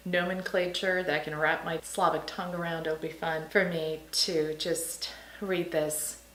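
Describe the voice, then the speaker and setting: A woman's voice: low voice, level tone, unhurried, a bit bright. Woman, 40s, from Serbia; casual book vlogger, enthusiastic, laughs a lot; roomy echo. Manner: chatty, enthusiastic, laughs often. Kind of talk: casual book haul chat.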